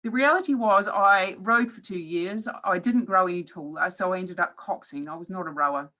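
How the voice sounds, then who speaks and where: Bright-voiced New Zealand woman lecturing. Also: on the low side, normal speed. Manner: earnest, reflective, with hesitant fillers. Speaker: professor giving a webinar talk. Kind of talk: presentation talk.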